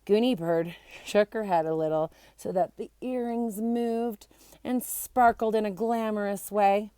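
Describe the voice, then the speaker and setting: A woman reads a children's chapter book aloud at a medium pitch. Her delivery is expressive and animated, made for young listeners, and the sound is dry with almost no room echo. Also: unhurried, clear, resonant tone. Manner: animated, acts out character voices. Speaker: storybook reader.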